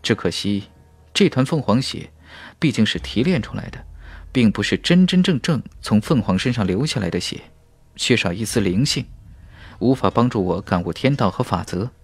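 A man's voice: lowish voice, expressive, moderate pace, gravelly, slightly rough, no room sound, heavily acted. A man voicing a confident, ambitious young warrior in a fantasy audiobook, with an emphatic, dramatic delivery. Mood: regretful, resolute. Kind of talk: audiobook character speech and inner thoughts.

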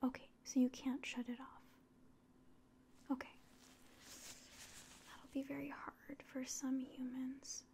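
A young woman whispering softly and breathily in a gentle, hesitant ASMR medical exam roleplay, close to the mic. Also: high voice, completely flat delivery, takes it slowly, pauses often. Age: young adult. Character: polite, clinical alien examiner. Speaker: ASMR performer. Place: small room indoors.

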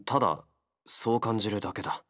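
An actor playing a wary, feral young man in a game, a gruff loner fighter with blunt, halting speech. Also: on the low side, fairly bright tone, no echo, studio quality. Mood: subdued, earnest. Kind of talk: Japanese game character voice acting.

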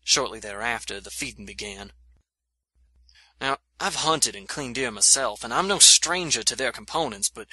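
An actor playing a shaken frontier soldier giving a grave, halting first-person account in an audio drama. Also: male voice, mid-range voice, bright, forceful tone, slightly rough, dry recording, exaggerated voice, low-quality recording. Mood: grave, intense. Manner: haunted, halting, grave delivery. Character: shaken frontier soldier recounting a monster attack.

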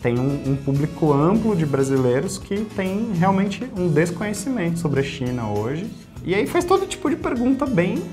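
A man in his thirties with a São Paulo Brazilian accent, talking casually in an interview with lively gestures and frequent tag questions. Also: high voice, moderate pace, few pauses, music in the background. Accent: Brazilian Portuguese, São Paulo. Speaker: YouTube creator. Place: small office studio.

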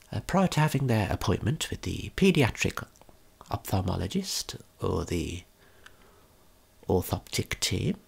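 A tour guide giving a gentle, unhurried ASMR tour in a breathy whisper, explaining things as they go. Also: mid-range voice.

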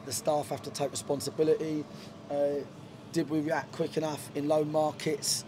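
Football boss in a dugout, bit high-ish voice, rambles with lots of ums. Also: male voice, little pitch movement, moderate pace, background noise. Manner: frank, rambling, many fillers and restarts. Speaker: football manager. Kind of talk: post-match interview. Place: stadium dugout, outdoors. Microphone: handheld reporter mic.

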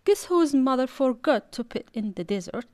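A woman narrating a children's storybook aloud in an expressive, deliberate, emphatic storytelling manner. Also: sits high. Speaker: audiobook narrator.

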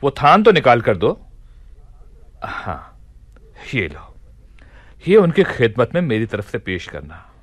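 A man playing a commanding yet gracious Caliph of Baghdad in a radio play, with measured, regal, formal diction. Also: mid-range voice, huge pitch swings, no room sound, exaggerated voice. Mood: brisk, commanding. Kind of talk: Hindi radio play acting.

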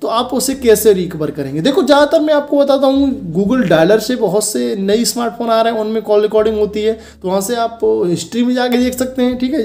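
A young man with a noticeably high voice narrates a casual tech tutorial. He talks straight to the viewer in an instructional way, going step by step and often checking that it is understood. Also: dark tone. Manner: instructional, addressing viewers directly, with repeated check-in tags. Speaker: host of a phone tutorial channel.

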